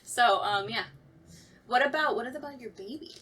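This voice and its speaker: A woman's voice: on the high side, moderate pace, a bit bright, husky. A woman who acts in audio drama, chatting casually and self-deprecatingly on a talkback podcast. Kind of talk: casual chat.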